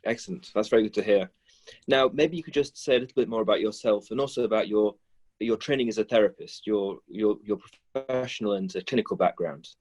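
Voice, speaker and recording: Rabbi asking questions on zoom, low husky voice, polite and short. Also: male voice, quick pace, a little mellow. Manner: courteous, brief prompts. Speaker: rabbi, interviewer. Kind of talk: interview questions. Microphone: video call.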